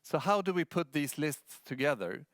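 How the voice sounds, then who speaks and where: A man's voice: a little high, very animated, normal speed, fairly bright tone, dry recording, studio quality. A man from Sweden with a Swedish accent giving a prepared presentation as a news editor.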